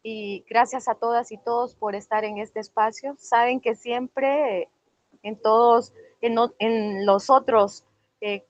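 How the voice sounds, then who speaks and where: A woman organizer who invited the guest, giving a gracious and earnest welcome and thanks. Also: on the high side. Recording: dull, lo-fi sound.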